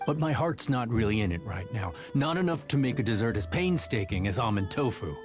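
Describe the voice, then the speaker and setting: A man voicing a gruff, intimidating chef who is secretly easily spooked, in a video game. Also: mid-range voice, lively intonation, brisk, music in the background, natural voice. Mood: reluctant, nervous. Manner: gruff, faltering when scared.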